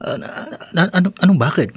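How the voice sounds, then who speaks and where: A man's voice: high voice, lively intonation, a little fast, breathy edge, dry recording. A man acting an evasive but good-natured husband and younger brother in a Filipino radio drama, with a hesitant delivery. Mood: placating, flustered. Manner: hesitant, stammering.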